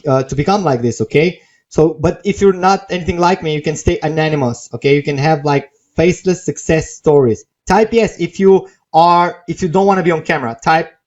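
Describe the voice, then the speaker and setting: High-voiced man pitching on a lo-fi webinar. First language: not English. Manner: persuasive, repeats checking tags like okay and right. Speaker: webinar host pitching software. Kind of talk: live sales webinar pitch, unscripted. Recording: dull, lo-fi webinar audio.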